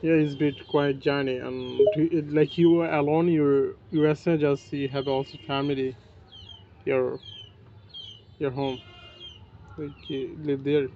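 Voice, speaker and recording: A young man in his twenties who speaks in halting, broken phrases, as if still learning to converse. His voice sits a little high. The recording is muffled, with a faint hiss underneath. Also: little pitch movement. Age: 20s. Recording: muffled with faint background noise.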